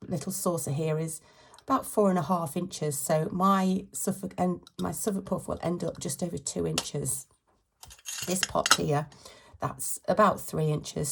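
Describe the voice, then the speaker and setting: A British woman with a slight cold and a croaky voice, chatting informally through a hands-on craft tutorial. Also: fairly low pitch. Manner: chatty, informal, self-correcting. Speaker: craft channel host.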